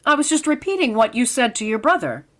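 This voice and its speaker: Actress as a wry, lively schoolteacher. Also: female voice, fairly high pitch, fast talker. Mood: exasperated. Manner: wisecracking, dry. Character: wry, patient woman schoolteacher, dryly exasperated. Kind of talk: vintage radio comedy acting.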